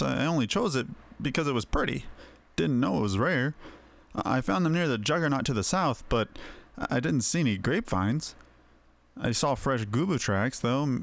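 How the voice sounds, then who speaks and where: A man voicing a timid, gentle recluse in fantasy game dialogue, halting and stammering as if unsure of himself. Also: medium pitch, gravelly. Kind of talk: game quest dialogue read aloud. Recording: music under the voice.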